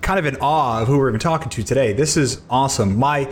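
A man's voice: medium pitch, fast talker. Enthusiastic male podcast host. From United States. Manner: enthusiastic, amazed interjections. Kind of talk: casual interview.

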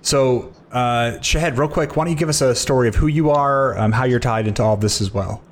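A man's voice: mid-range voice, normal speed. Enthusiastic male podcast host. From United States. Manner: enthusiastic, amazed interjections. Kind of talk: casual interview.

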